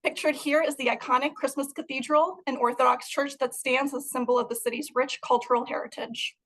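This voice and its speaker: A woman's voice: high-pitched, steady tone, fairly quick, fairly bright tone, poor audio. A young adult woman from Moldova, giving an earnest, prepared talk about her art over a video call. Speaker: guest artist.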